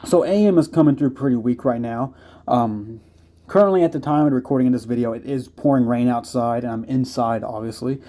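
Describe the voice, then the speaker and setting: A man's voice: mid-range voice, brisk, mellow. An American man giving a casual, unscripted product review as a video host, explaining things conversationally. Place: indoors.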